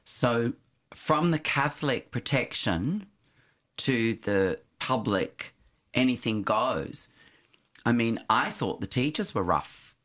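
A middle-aged man, an interview guest, recalling his school days in a reflective, storytelling way, with frequent restarts and soft laughs. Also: medium pitch, expressive, takes it slowly.

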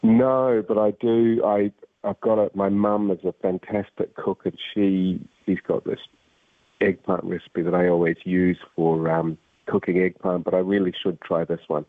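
A man's voice: deep voice, little pitch movement, normal speed, no echo. A man with a Glasgow accent calling in to a radio show, speaking hesitantly with many ums and uhs. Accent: Scottish, Glasgow. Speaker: caller. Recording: tinny phone line.

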